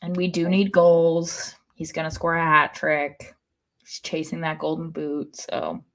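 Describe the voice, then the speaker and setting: A woman's voice: deep voice, level tone, unhurried, vocal fry, fairly bright tone. A woman co-hosting a sports podcast with casual, conversational commentary.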